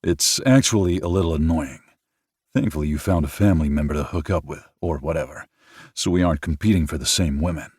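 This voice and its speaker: A man's voice: a bass voice, fairly quick, a little mellow, breathy edge, dry recording. A man voicing a joking, competitive adult man with a dry sense of humour.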